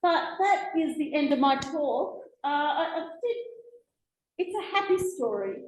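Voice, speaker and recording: A woman in her forties with an Australian accent, giving a chatty, lively public talk as a guest speaker, full of asides and restarts. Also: high voice, a little slow. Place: lecture room, indoors. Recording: echoey lecture room, faint noise.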